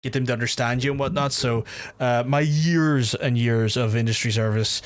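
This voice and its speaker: A man with a softened Belfast accent, chatting casually and rambling as he streams a game, swearing freely. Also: mid-range voice, fairly even intonation, normal speed. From Belfast, Northern Ireland. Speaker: live streamer. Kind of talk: casual gameplay commentary and chat with viewers.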